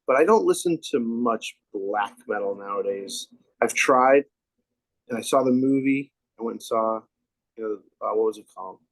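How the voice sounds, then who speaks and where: A man's voice: lowish voice, normal speed, no echo, poor audio. Laid-back man hosting, dry sound. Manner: laid-back, laughs easily, asks questions. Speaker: podcast host. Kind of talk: casual interview chat.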